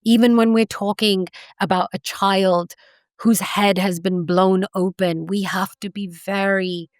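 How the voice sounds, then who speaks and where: A woman's voice: medium pitch, steady tone, a little slow, no echo, clean studio sound. A woman from England speaking as an academic guest in an interview, earnest and impassioned, often saying "you know". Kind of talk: conversational interview.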